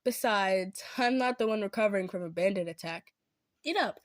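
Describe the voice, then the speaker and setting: Girl voicing a sweet modest centaur lady, gentle n kinda hesitant, close dry sound, roleplay audio. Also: medium pitch, moderate pace, dry recording, lightly acted. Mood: caring, upbeat. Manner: gentle, hesitant, stammering, earnest. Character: kind, modest, earnest female centaur traveler. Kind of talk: improvised roleplay audio drama, addressed to listener.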